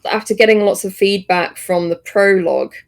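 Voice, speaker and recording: A woman co-hosting a podcast, chatting casually about games in a hesitant, halting way. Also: medium pitch, vocal fry. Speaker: podcast co-host.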